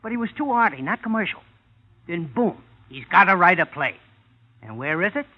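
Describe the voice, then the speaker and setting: A man's voice: high-pitched, animated, brisk. A man with an American accent playing a blunt, exasperated showbiz agent in an old-time radio drama, slangy and complaining. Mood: dismissive. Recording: muffled vintage radio recording.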